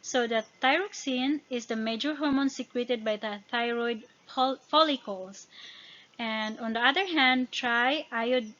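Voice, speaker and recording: A woman with a fairly high voice and a Filipino accent, a native speaker of Tagalog and Visayan, teaches as she reads through study notes. She stumbles and corrects herself on technical words. The recording is somewhat dull and lo-fi. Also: fairly bright tone. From Philippines. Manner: halting over technical words, self-correcting. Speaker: educator. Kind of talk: informal lesson narration, reading study notes.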